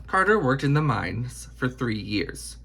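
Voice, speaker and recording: Husky male storyteller with lively intonation. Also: medium pitch, unhurried. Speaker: narrator reading a children's picture book biography aloud. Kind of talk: expressive read-aloud storytelling.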